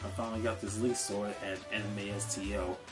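A young man chatting casually in an unscripted vlog, halting and repeating himself as he stumbles over his words. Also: on the low side, little pitch movement, over music. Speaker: vlogger showing convention purchases. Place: small cluttered room at home, indoors.

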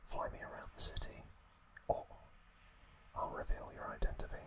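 A man whispering an ASMR recap as host, telling it in short, hesitant phrases with pauses.

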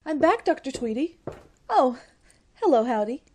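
A woman's voice: high voice, sing-song, normal speed, noisy background. A woman playing an eager, naive young college secretary, gushing in an old-time style radio comedy.

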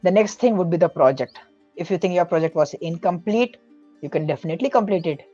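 A man's voice: sits high, animated, quick pace, warm tone, highs cut off, faint music behind. A man speaking as a podcast guest, giving earnest, explanatory advice in a casual way, often correcting himself mid-sentence.

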